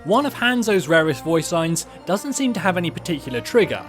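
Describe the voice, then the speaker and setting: A man's voice: a little high, sing-song, a little fast, over music. A man hosting a video, reading scripted narration. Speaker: video host. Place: close mic voice-over with music behind.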